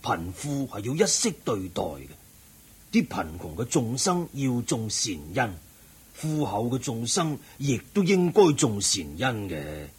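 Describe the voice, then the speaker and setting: Husky, lofty old nobleman. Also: mid-range voice, big pitch swings, a little mellow, full character voice. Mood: solemn, admonishing. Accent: Hong Kong Cantonese. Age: older. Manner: measured, preaching. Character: eminent wealthy old layman, lofty lecturing debater. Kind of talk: Cantonese Buddhist radio drama acting.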